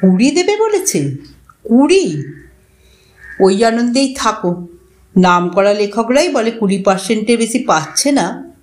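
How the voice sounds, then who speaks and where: A woman's voice: a little high, big pitch swings, a little slow, long pauses. A woman voicing a scornful, nosy male schoolteacher in a storybook, needling his friend with sceptical questions. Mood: sceptical, disdainful. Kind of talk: storybook dialogue.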